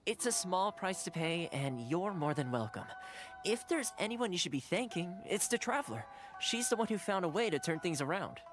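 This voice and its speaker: A courteous young gentleman retainer from a video game, speaking in a very lively, swinging pitch. He is playful and smooth-talking yet formal, with music playing behind him. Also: moderate pace. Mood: teasing, courteous. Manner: polite, playful, formal phrasing. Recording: game dialogue over background music.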